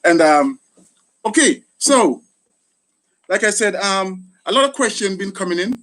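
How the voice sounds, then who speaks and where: A man's voice: sits high, expressive, unhurried, fairly bright tone. A middle-aged man with a Jamaican accent hosting a casual live chat, thanking people often. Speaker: gardening channel host, retired soldier.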